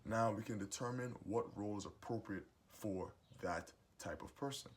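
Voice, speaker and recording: A young adult man with a booming voice gives a preachy, emphatic motivational monologue as host of his own series. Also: moderate pace, slight background noise. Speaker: host giving advice monologue.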